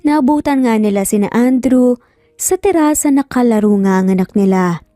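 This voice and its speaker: A woman's voice: on the high side, animated, moderate pace, dark tone, no room sound, studio quality, faint music behind. A woman with a Filipino accent narrating a scripted story in a dramatic style.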